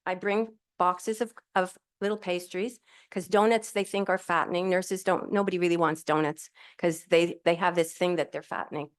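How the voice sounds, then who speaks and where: A woman's voice: lowish voice, steady tone, moderate pace, few pauses. A middle-aged woman telling her own story as a panel guest at a live event, cheerful, candid and rambling, speaking into a handheld microphone. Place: event hall with audience.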